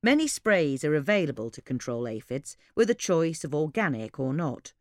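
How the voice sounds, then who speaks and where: A woman's voice: low-pitched, very animated, normal speed, no room sound, clean studio sound. A woman reading a scripted gardening-magazine style article as an informational narrator.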